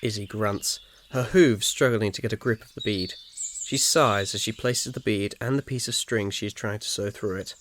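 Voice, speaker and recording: A man's voice: lowish voice, normal speed, fairly bright tone. A man reading a scripted second-person ASMR story as its narrator. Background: birdsong, crickets and faint noise.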